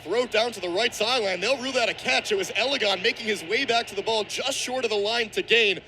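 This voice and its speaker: High-pitched young man calling football, noisy stadium. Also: fast. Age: young adult. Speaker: play-by-play sports commentator. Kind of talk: live football radio play-by-play. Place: outdoor football stadium broadcast. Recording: stadium broadcast with loud crowd-like ambient noise.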